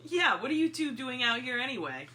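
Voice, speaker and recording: A woman playing a scornful, gruff teenage boy prankster in a dramatic comic reading. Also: high voice, sing-song, fairly quick, pushed and bright, heavily acted. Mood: scornful, annoyed.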